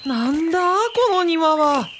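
A woman playing a boyish, excitable young man in a radio drama, speaking casually and exclaiming often. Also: high-pitched, slow, slight background noise. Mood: impressed. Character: boyish, excitable young male sidekick, Kaoru.

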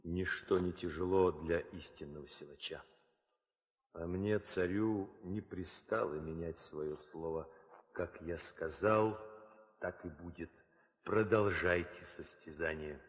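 A man's voice: low-pitched, slow pace. An actor playing a stern, dignified king, solemn and authoritative, in a 1960s theatrical audio drama. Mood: stern, unyielding. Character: stern, dignified Indian king holding a contest. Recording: reverberant hall sound.

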